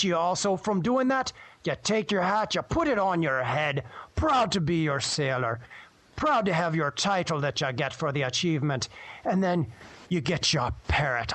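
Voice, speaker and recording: A man's voice: a little high, a bit bright, dry recording. A man playing a jovial, swaggering pirate guide who rambles through advice in piratey phrasing for a radio show.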